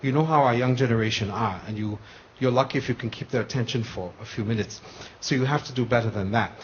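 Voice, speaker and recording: A man, speaking in a mid-pitched voice, passionately builds up his question from the audience and restarts his sentences often. The sound is dull and broadcast-muffled, with a faint hiss. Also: fast talker. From United States. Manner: earnest, passionate, with many restarts. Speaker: polytechnic lecturer in the audience. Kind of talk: question from the floor.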